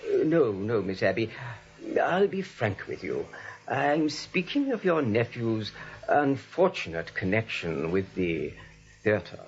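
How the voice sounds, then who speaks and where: Fussy clergyman with lively intonation. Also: on the low side, slow pace, heavily acted. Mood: grave, disapproving. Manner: hesitant, courteous, formal. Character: mild, proper, fussy clergyman and father. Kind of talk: radio play comedy acting. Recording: dull lo-fi radio broadcast.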